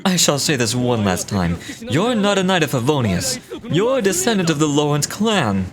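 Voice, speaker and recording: High-voiced, pompous villainous nobleman. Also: sing-song, normal speed, over music. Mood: imperious. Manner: pompous, imperious, theatrical, formal. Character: pompous, scheming older nobleman uncle, indignant villain. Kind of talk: dramatic video game voice acting.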